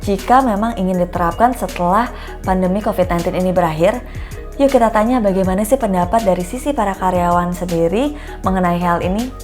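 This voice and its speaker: A woman hosting a short explainer video, reading a scripted introduction in a bright, upbeat way. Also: medium pitch, a little fast, soft background music. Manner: upbeat, mixes English phrases. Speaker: host. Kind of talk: scripted presenter narration.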